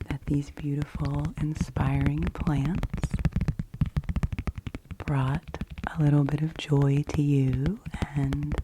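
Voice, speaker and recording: A woman hosting ASMR, speaking gently and soothingly, slipping often between soft speech and whispers. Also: deep voice, slight background noise, poor audio. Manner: slow, gentle, soothing delivery with frequent whispering. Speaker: ASMR video host.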